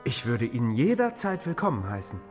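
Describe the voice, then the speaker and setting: An actor playing an insecure, easily led king in a fantasy radio drama, husky, affable and self-doubting. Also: medium pitch, wildly swooping pitch, moderate pace, faint music behind. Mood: doubtful curiosity.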